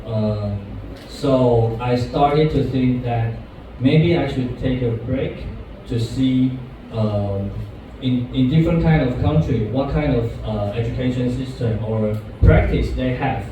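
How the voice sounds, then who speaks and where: A man's voice: mid-range voice, background noise. Echoey far-off Taiwanese man. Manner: halting, many restarts and fillers. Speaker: guest speaker. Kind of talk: alumni talk to students. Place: university classroom. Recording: echoey lecture room from afar.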